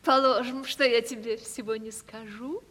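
An actress playing a coquettish, capricious aging landowner lady in a radio play, with affected, drawn-out theatrical delivery. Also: on the high side, fairly even intonation, a bit bright, a little hiss or hum, lightly acted. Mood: coy, teasing. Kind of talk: theatrical 1970s Russian radio play acting. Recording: stage-like reverberant archival radio drama recording.

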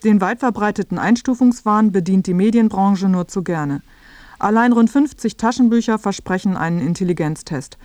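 A woman reading scripted feature narration in a clear, explanatory way. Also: medium pitch, normal speed, a little mellow, no room sound, faint music behind.

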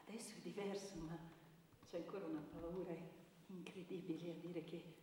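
An actress playing an earnest women's shelter counsellor, delivering a theatrical testimony in a measured, deliberate way with weighty pauses. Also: female voice, on the low side, fairly even intonation, mellow, a little hiss or hum, close to an everyday voice. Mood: grave, reflective. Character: earnest female anti-violence centre counsellor giving testimony. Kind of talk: dramatic theatrical monologue. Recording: echoey hall with long reverb, distant mic.

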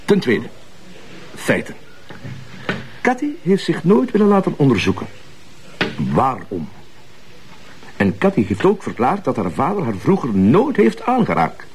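A man playing a sceptical, methodical Flemish police detective who questions things and raises measured objections in a TV drama. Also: on the high side, huge pitch swings, a little mellow, slight background noise.

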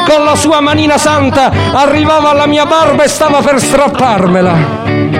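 An actor playing a wry, struggling performer who works as a costumed Santa, telling his story in a first-person stage monologue and acting out the dialogue. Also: very high voice. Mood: wry, reflective.

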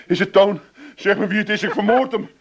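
A middle-aged actor with an Amsterdam accent playing a hot-headed, jealous husband in a radio comedy, blustering and stammering. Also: male voice, high-pitched, fairly quick. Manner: blustering, stammering, theatrical outbursts. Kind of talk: comic radio play acting.

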